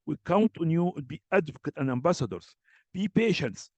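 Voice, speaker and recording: Man, fairly high voice, dry close sound, earnest, hesitant, many fillers. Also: sing-song, brisk, no echo, muffled recording. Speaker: webinar chair. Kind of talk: addressing colleagues in a live session.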